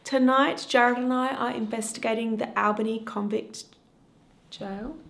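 A woman's voice: a little high, steady tone, measured pace, gravelly, a bit bright, a little hiss or hum. A young woman in her twenties hosting a paranormal vlog, talking in a chatty, giggly, upbeat way inside a dim old stone prison. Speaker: paranormal vlogger host. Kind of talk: casual vlog presenting.

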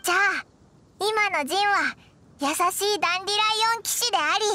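A woman's voice: fast talker, pushed and bright, a little hiss or hum. A woman voicing a small, caring fairy companion with childlike, blunt speech in a Japanese anime game. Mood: curious. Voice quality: squeaky, childlike. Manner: blunt, childlike casual phrasing.

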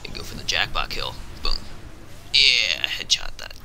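Male gamer, low pitch with heavy fry, casual muttered play-by-play, slight background noise. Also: sing-song, measured pace, bright, forceful tone. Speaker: gamer commentating on gameplay. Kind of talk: casual gameplay commentary. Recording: home recording with faint noise.

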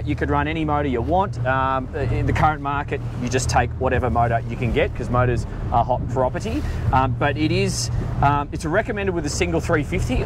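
An Australian man in his forties hosting a boat review, talking casually on a lapel mic aboard an open boat. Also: on the high side. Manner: chatty, easygoing, jokey with filler ums. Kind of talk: casual on-camera walkthrough. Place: open boat on a harbour, outdoors. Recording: outdoor wind and boat engine noise.

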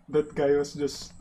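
A man's voice: fairly high pitch, mellow. A man in his twenties giving casual, off-the-cuff commentary as a reaction video host at home. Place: small dim room at home. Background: faint music from the watched cartoon.

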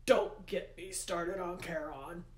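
A woman voicing a furious, imperious male god of the underworld for a storybook, with booming, emphatic, drawn-out words. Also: lightly acted. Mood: exasperated ranting. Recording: roomy, echoey indoor sound.

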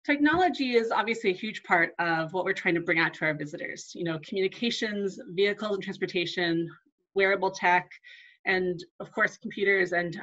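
A woman's voice: fairly low pitch, bright, forceful tone, dull audio. A woman archivist giving a live online talk, conversational with frequent ums and asides and no regional accent. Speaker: museum archivist.

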